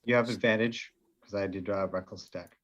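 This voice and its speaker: A man's voice: on the low side, fairly even intonation, a little fast. Nasal man on a muffled mic. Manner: hesitant, lots of "uh" while counting damage. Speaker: player. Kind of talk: casual tabletop game talk.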